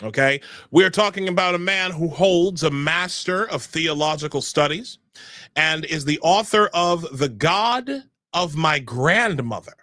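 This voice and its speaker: Bright, lively young male show host. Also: a little high, a little slow, dry recording. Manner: energetic, heavy on filler sounds. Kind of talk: live podcast hosting.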